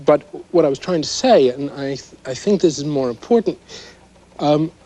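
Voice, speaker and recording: An actor playing a male psychoanalyst as a news expert, explaining in a measured but hesitant, halting way. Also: a little high, expressive, dark tone, slight background noise, natural voice, low-quality recording. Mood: thoughtful, concerned. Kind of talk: naturalistic mock news interview.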